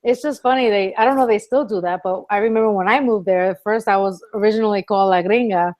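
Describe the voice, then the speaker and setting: A woman's voice: medium pitch, fast talker, a little mellow. A woman from the Bronx and Puerto Rico chatting casually and giggly as an interview guest. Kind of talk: casual chat.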